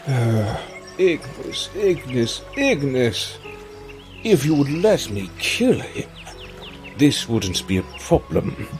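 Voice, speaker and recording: An actor playing a seductive, menacing villain in an audio drama, with a drawling, theatrical, teasing delivery. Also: male voice, mid-range voice, very animated, slow, faint music behind. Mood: taunting.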